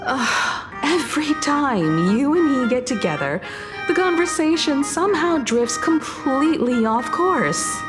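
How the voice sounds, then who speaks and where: Brief: calm, composed game navigator, unhurried delivery, reverberant space. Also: female voice, high-pitched. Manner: firm, composed, faintly exasperated. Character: poised adult woman navigator, caring daughter. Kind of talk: video game voice acting. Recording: reverberant game audio with music.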